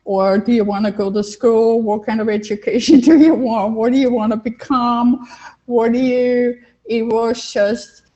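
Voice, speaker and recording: Older female coach, Austrian accent, slightly high mellow voice, emphatic personal storytelling, dull lo-fi call recording. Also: steady tone, a little slow. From Vienna, Austria. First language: German. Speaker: interview guest, life coach. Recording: dull, lo-fi online call audio.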